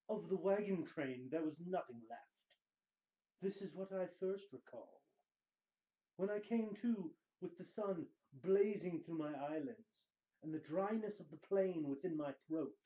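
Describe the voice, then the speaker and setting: A man giving a dramatic reading of fiction, with theatrical, deliberate and weighty phrasing. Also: sits high, mellow, dull audio. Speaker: show guest host reading book excerpts.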